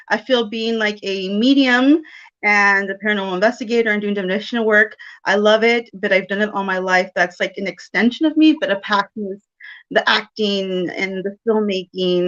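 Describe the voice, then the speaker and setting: A woman's voice: mid-range voice, normal speed, a bit bright. Giggly woman in her thirties, muffled. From South Texas. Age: 30s. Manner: giggly, rambling with many fillers. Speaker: guest, medium and paranormal investigator. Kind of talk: casual interview chat.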